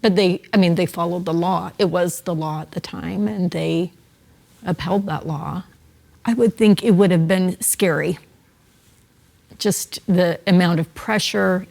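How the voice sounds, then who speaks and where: A woman answers interview questions in a reflective, thoughtful way. Her voice is on the low side and often drops into a gravelly fry. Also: steady tone, measured pace, a little mellow. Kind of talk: casual interview.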